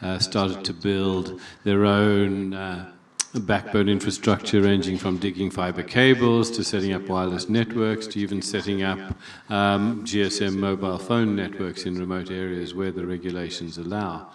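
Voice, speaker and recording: A man with a very low, flat, unvaried voice speaks at a conference session, steady and measured, pausing often with "uh". He sounds set back from the microphone. The large hall around him gives his words a roomy echo. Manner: measured, steady, with frequent pauses and fillers. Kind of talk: conference remarks. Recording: echoey room, distant mic.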